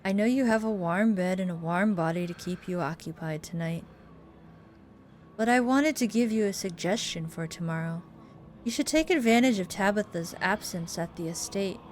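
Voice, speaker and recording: A woman voicing a sinister veiled male monster in a game, speaking in a drawn-out, ominous way. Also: medium pitch, darkish tone, a little hiss or hum, natural voice. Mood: calm, insinuating.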